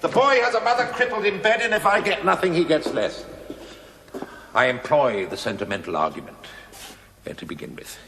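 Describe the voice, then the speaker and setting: Sly, put-upon stonemason, sardonic stage delivery, highly animated pitch, reverberant hall with slight noise. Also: male voice, a little fast. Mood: wheedling. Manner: sardonic, mock-deferential, theatrical phrasing. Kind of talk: theatrical television play dialogue. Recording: echoey hall, faint noise, 1980s TV recording.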